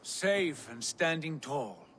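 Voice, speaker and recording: A man's voice: a little high, sing-song, slow, a bit bright, slight background noise, full character voice. A man playing a weary, proud Viking warrior in a dramatic video game scene. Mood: grave.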